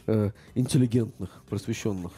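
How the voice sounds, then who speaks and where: A middle-aged man in casual political chat, talking haltingly with many fillers and restarts. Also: on the low side, mellow, faint music behind.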